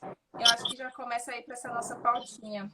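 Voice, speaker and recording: A woman's voice: fairly high pitch, little pitch movement, fairly quick, bright, forceful tone, slight background noise. A woman from in Brazil, speaking, hosting a friendly, upbeat live chat over a video call. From Brazil, Minas Gerais. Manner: friendly, upbeat, introduces topics. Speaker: host, entrepreneur.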